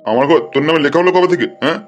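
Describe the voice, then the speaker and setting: A man's voice: on the high side, racing, lightly acted. A man voicing a stingy, trusting old grandfather in an amateur cartoon, in plain colloquial speech. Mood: angry. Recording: music under the voice.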